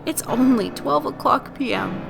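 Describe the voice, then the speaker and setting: Tired raspy-voiced woman, rambling. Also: sits high, expressive, measured pace. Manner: rambling, many fillers and self-corrections. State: tired, voice raspy and lower than usual. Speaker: video creator. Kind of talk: unscripted casual vlog talk over speed-drawing. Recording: music playing underneath.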